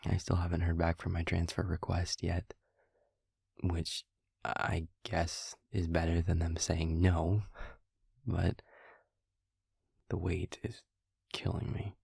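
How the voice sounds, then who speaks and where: An actor playing a lovesick man leaving a tender voicemail in an intimate audio roleplay, speaking softly and haltingly with a husky, breathy voice. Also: deep voice, level tone, no room sound, studio quality, full character voice. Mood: longing. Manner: soft, halting, affectionate.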